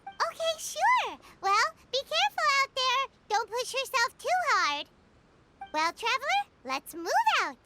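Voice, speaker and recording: A woman voicing a tiny, childlike, perky companion sprite in a video game, squeaky, cutesy and chirpy. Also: big pitch swings, a little slow, very noisy, exaggerated voice. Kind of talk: scripted video game voice acting.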